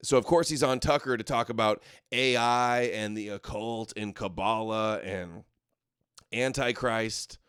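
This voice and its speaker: A man's voice: lowish voice, slow pace, long pauses, fairly bright tone, clean tone, dry recording, studio quality. A male host giving sarcastic, scoffing commentary over video clips. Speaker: show host.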